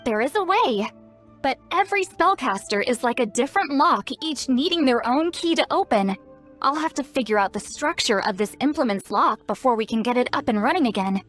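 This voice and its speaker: A woman's voice: high-pitched, animated, a little fast, a bit bright, soft background music. An actress playing a cocky, blunt young female shaman in a video game, speaking in a self-assured, brusque, explanatory way. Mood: matter-of-fact. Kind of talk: animated video game voice acting.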